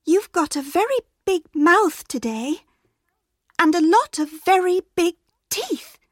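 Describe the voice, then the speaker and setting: A woman doing a high, innocent little girl. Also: sing-song, takes it slowly, dry recording, clean studio sound, exaggerated voice. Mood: wondering. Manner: high, bright, wide-eyed.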